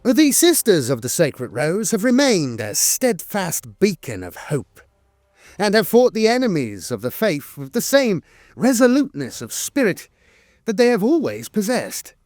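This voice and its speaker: A man with a slightly high voice narrates scripted lore in a formal, measured manner. His pitch moves in lively swings across phrases, with an occasional small hesitation. The sound is dry and close, with little room on it. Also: no echo. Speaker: channel narrator.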